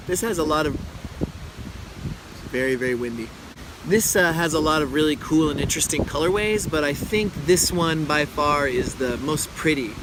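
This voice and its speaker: A man in his thirties with a scratchy, hoarse voice from being sick, chatting casually as he reviews a yo-yo outdoors in a windy park. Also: a little high. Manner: relaxed, chatty, frequent uh fillers. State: hoarse from illness. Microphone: camera close-up.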